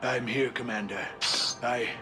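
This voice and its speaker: An actor playing an anxious but earnest informant on the run, speaking urgently in a video game. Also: male voice, medium pitch, steady tone, a little slow, over music, close to an everyday voice. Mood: weary, earnest. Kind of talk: dramatic game voice acting.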